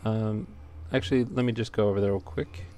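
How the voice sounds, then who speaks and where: A man's voice: fairly low pitch, fairly even intonation, brisk, dark tone. A man giving casual, unscripted gameplay commentary as a let's play host, talking in an easygoing, hesitant ramble. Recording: clearly noisy home recording.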